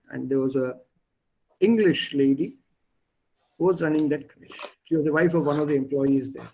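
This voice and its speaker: A man with an Indian accent, a native Gujarati speaker, teaching an online German class in English over a video call, explaining patiently and slowing down to stress key words. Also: a little high, creaky, mellow, slight background noise, low-quality recording.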